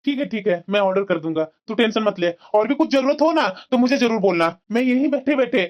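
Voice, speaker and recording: A man's voice: sits high, lively intonation, brisk, lo-fi sound. A man playing an evasive husband and doting uncle in a moral-story cartoon, irritable at home. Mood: indulgent.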